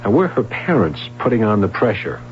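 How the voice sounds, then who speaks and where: A skeptical, persistent police sergeant in an old radio play. His voice is fairly low and moves around animatedly. The recording is dull, with a clear hum. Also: sing-song, brisk. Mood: probing. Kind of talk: old-time radio drama acting.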